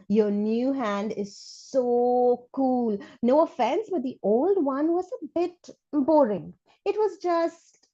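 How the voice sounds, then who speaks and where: A woman voicing a chatty, frank, excitable school child in an animated storybook read-aloud, gushing with dramatic emphasis. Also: a little high, dull audio, pitched above the performer's own voice, full character voice.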